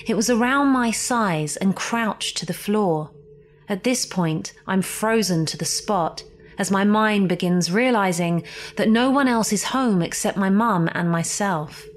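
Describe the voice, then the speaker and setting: A woman reading a scripted ghost story as a horror podcast narrator, theatrical and suspenseful, with deliberate emphasis. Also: medium pitch, a little hiss or hum. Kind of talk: scripted dramatic spooky narration. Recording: music behind the voice.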